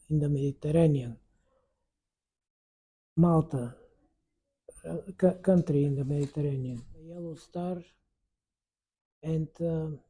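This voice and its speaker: A man, giving an oral history interview as a survivor, halting and searching for words in an earnest way. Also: fairly high pitch, mellow, breathy edge, rough recording. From Bulgaria. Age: 90s.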